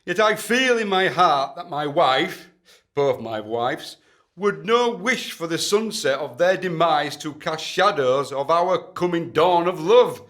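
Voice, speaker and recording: An actor playing a pompous, pushy duke in a comic period radio play, wooing in florid, melodramatic gushes. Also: male voice, fairly high pitch, expressive, unhurried, a bit bright. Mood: mock grieving.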